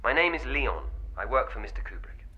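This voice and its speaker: An actor playing a businesslike director's assistant, formal, clipped and unflappable, in a radio drama. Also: male voice, mid-range voice, sing-song, fairly quick, pushed and bright, husky, dull audio, heavily acted. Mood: businesslike, insistent. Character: brisk, businesslike male assistant to a film director.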